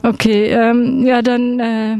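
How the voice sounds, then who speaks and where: A woman's voice: a little high, little pitch movement, takes it slowly, a little mellow, clean tone, no room sound. A woman playing a flustered, polite customer in a live radio play, hesitating, stammering and repeating herself. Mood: puzzled, searching.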